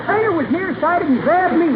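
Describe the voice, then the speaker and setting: Very high-voiced folksy old-timer. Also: male voice, expressive, normal speed, a loud crowd. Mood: folksy boasting. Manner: folksy, rambling, chuckling at his own jokes. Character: cackling old man, folksy former stable boy spinning tall tales. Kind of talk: 1940s radio comedy acting. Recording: tinny, noisy vintage radio broadcast.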